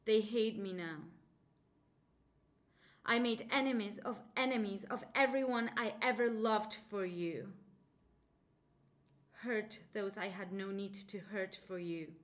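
A woman's voice: mid-range voice, slow pace, pauses often, natural voice. A woman declaiming as a scorned vengeful queen. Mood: bitter, sarcastic. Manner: loud, declaiming, sarcastic. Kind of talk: dramatic monologue reading.

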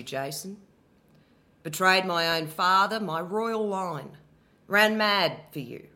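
A bitter, betrayed queen, voiced by a woman in her thirties spits sarcasm, dropping to a low mutter and then flaring up loud. The tone is bright and sharp, and the delivery is slow and weighted. It has the feel of a stage monologue performed for an audition. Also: heavily acted. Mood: anguished. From Australia. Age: 30s. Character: betrayed furious queen, bitter abandoned wife. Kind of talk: dramatic monologue, audition piece.

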